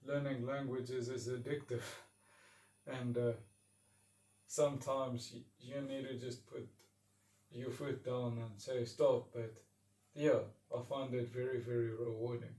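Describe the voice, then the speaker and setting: A man from South Africa with a South African accent, an English teacher speaking slowly and thoughtfully to camera, often pausing and restarting. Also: medium pitch, a little mellow, distant mic. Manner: slow and reflective, with restarts and pauses. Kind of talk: casual talk to camera. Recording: roomy sound, speaker away from the mic.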